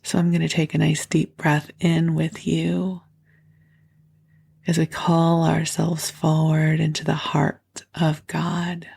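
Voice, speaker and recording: Woman with a froggy morning voice, gravelly and husky, slow soothing bedtime talk, soft whispers. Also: deep voice, completely flat delivery. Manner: soft, slow and gentle, often dropping to a whisper. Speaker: podcast host. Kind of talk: soothing bedtime meditation and prayer.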